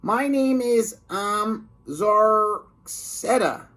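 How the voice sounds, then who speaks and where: A man voicing a very large alien woman posing as a sweet cafeteria lady, with a drawn-out, hesitant delivery in a children's storybook. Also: sits high, sharp tone, pitched above the performer's own voice, heavily acted. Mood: falsely sweet.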